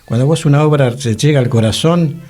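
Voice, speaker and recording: Male co-host, Argentine accent, mid pitch, emphatic conversational radio delivery, faint music bed. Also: brisk, warm tone. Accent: Argentine, Rioplatense.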